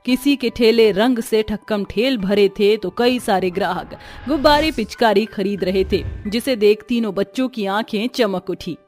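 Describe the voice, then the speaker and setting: A woman's voice: mid-range voice, measured pace, few pauses, a little mellow, soft background music. A woman giving scripted, dramatic narration of a story.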